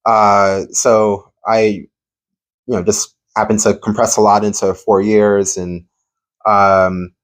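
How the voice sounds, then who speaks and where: A man from New York, an astronomer guest in an interview, talking modestly with lots of pauses and fillers. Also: fairly low pitch, little pitch movement. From New York City, USA. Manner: hesitant, many fillers, modest. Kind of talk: casual interview chat.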